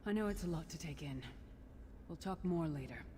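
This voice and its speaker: An actor playing a blunt, wary male ally in a video game, speaking in a measured way. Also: on the high side, animated, darkish tone, big-room sound, a little hiss or hum, lightly acted. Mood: reassuring. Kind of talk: video game character dialogue.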